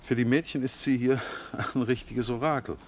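An actor playing a middle-aged intellectual novelist in a 1950s German radio drama, hesitant, evasive and self-deprecating. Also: male voice, medium pitch, fairly even intonation, normal speed, a little mellow, close to an everyday voice. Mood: chatty, confiding. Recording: noisy vintage radio recording.

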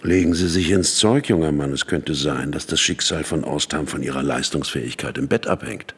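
In a long reverberant space, a crude, domineering company chief in a radio play. His voice is bottom-deep and husky, his tone sneering and condescending. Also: moderate pace, heavily acted. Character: domineering, crude older male company boss. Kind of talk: radio drama acting.

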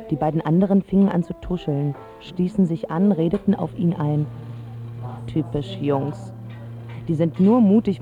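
An actress playing a spoiled, smitten teenage girl who narrates a radio drama in a wry, confiding way with dramatic pauses. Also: low voice, narrow, tinny audio. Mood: wry, scornful. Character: spoiled rich teenage girl, smitten, sharp-tongued about boys.

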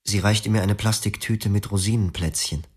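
An actor narrating as a young former psychiatric patient, in a quiet, reflective, melancholic tone in a radio play. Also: male voice, fairly low pitch, steady tone, normal speed, breathy edge. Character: young male patient recalling his clinic past. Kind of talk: first-person radio drama narration.

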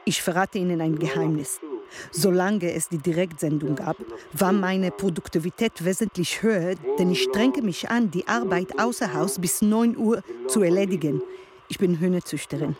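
A woman's voice: lowish voice, moderate pace, over a noisy crowd, close to an everyday voice. An actress playing an earnest, hardworking woman chicken farmer, confiding and insistent, in a radio play monologue.

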